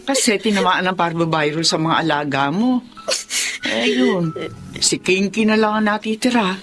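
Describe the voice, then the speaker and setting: A woman's voice: medium pitch, moderate pace, fairly bright tone, soft background music, natural voice. An actress playing a middle-aged Filipino mother in a drama, scolding and exasperated but caring. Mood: consoling. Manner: scolding, complaining. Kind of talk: Filipino radio-style drama acting.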